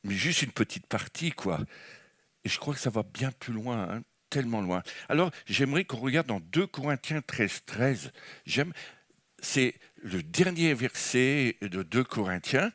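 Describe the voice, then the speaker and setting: A man's voice: mid-range voice, expressive, a little slow, fairly bright tone, dry recording, studio quality. A man from France with a French accent, preaching an enthusiastic, rambling charismatic sermon in church with many restarts. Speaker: guest preacher.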